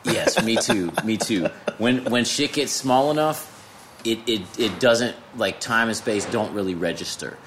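A man's voice: on the low side, a bit bright. A man in his thirties hosting a casual conversational interview, informal and salty, restarting his phrases as he explains things. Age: 30s. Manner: informal, profane, halting restarts when explaining. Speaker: podcast host. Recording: noticeable background noise.

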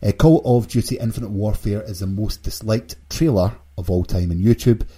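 A man with a husky voice hosting a casual gaming news discussion. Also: on the low side, moderate pace, warm tone. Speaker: podcast host.

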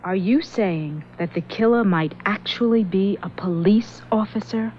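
A woman's voice: mid-range voice, measured pace, a little mellow, lightly acted. An actress playing a wary, insistent young woman in a radio drama, questioning the police. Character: anxious but insistent young woman, Miss Sims. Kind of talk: 1970s radio drama acting. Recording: dull old radio broadcast recording, faint hiss.

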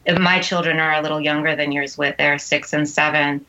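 A middle-aged woman, a guest writer on a podcast, giving measured, earnest and articulate answers. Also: low voice, little pitch movement, pushed and bright, muffled recording. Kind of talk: considered interview answers.

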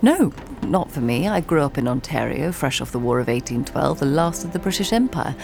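An actress playing a proud, sardonic, cultured nineteenth-century woman music teacher in an audio drama, with a haughty, dramatic, sharp-tongued manner. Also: sits low, expressive, fairly quick, creaky, a little hiss or hum. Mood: disdainful, wry. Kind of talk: audio drama acting with confessional narration.

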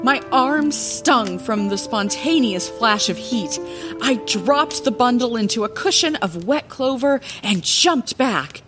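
A woman's voice: a little high, slow, soft background music. An actress playing an older woman lighthouse keeper who reminisces in a playful, dramatic, conversational way, like bedtime fantasy storytelling in an audio drama. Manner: expressive, conversational, theatrical.

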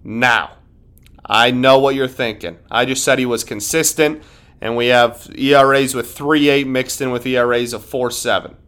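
A man's voice: medium pitch, fairly even intonation, normal speed, fairly bright tone. A man hosting a sports podcast, talking casually and emphatically, often repeating himself and restarting sentences.

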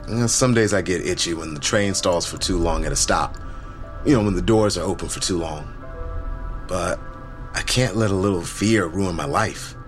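A man's voice: low voice, normal speed, soft background music, natural voice. A man playing an easygoing but guarded survivor in an audio drama, talking in a casual, understated way. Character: easygoing, guarded male shooting survivor, an illustrator. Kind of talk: naturalistic audio drama dialogue.

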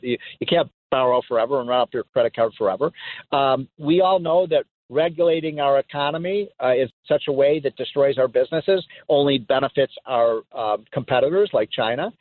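A man hosting a call-in talk radio show, emphatic and excitable, often laughing as he talks. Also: mid-range voice, dry recording. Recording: tinny phone-like sound.

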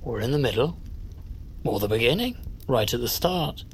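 Guy acting as serene odd uncle, gentle halting musings, faint room noise behind him. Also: medium pitch, lively intonation, lightly acted. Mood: gently curious. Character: mild, eccentric uncle, serenely philosophical meditator. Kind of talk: audio drama acting.

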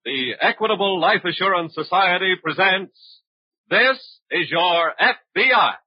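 High, swooping 1940s male radio announcer. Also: expressive, a little slow, slightly rough. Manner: formal, declamatory. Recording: dull vintage 1940s radio broadcast. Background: prominent music.

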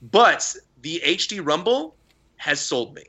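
Bright-voiced excitable podcast host. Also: male voice, fairly high pitch, takes it slowly, slightly rough. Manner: excited storytelling, laughs often. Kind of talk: casual podcast chat.

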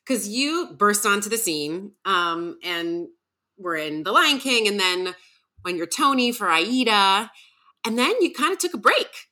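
A woman's voice: medium pitch, expressive, unhurried, sharp tone. A woman hosting an interview, affirming her guest and steering with follow-up questions. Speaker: interview host. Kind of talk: casual interview chat.

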